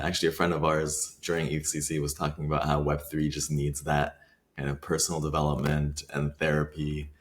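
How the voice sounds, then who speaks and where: A man from Iran, co-hosting a conversational podcast, explaining his views in a thoughtful, measured way with fillers. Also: a bass voice, a bit bright. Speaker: podcast co-host.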